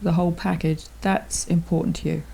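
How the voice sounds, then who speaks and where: A woman's voice: lowish voice, level tone, fairly quick, gravelly, mellow, husky. A woman, a concert organist interviewing a composer, talking in an agreeable, chatty way and laughing easily. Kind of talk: conversational interview.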